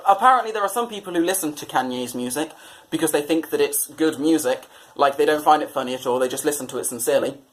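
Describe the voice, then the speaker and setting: A British man presenting satirical commentary on newspapers in a sardonic, mock-exasperated way. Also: a little high. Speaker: comedy presenter.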